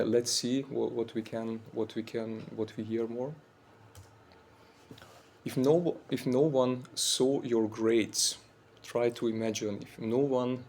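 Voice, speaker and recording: A man in his forties, a monk from Austria, giving an informal university talk in English with an Austrian German accent. His voice is slightly weak, and he speaks haltingly, searching for words and often saying "like.". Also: medium pitch, slight background noise. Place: university lecture room.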